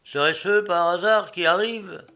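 A man's voice: mid-range voice, completely flat delivery, a little slow, vocal fry, bright, forceful tone, clear, resonant tone. A man voicing a stern, impatient steamboat captain in a storybook, firm and curt. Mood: irritated retort.

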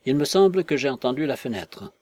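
A man voicing a gruff village man who mutters his remarks. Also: animated, fairly quick, a little mellow, no room sound, natural voice. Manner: muttering, low-voiced.

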